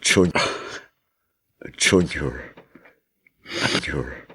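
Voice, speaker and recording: A man's voice: very deep voice, very slow, fairly bright tone, slightly rough, heavily acted. An actor playing a middle-aged ex-convict father in a Filipino radio drama, earnest and remorseful with a hot-headed streak. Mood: tearful, tender.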